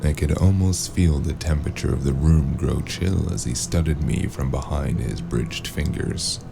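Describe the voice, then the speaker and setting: A man playing a wary amnesiac drifter in a noir audio drama, hesitant and wry, with a very deep, gravelly voice. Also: moderate pace, background noise, exaggerated voice. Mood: brooding. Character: wary amnesiac male drifter narrating his noir tale. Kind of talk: noir audio drama acting and first-person narration.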